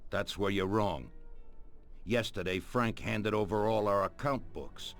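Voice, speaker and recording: Old american mafia godfather, really deep voice, grave n bossy, video game cutscene w music. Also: a bit bright. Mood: grave, commanding. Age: older. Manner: deliberate, authoritative, ordering. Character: ageing mafia don, grave, commanding, coldly ruthless. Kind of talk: dramatic video game voice acting. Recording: music behind the voice. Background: background music.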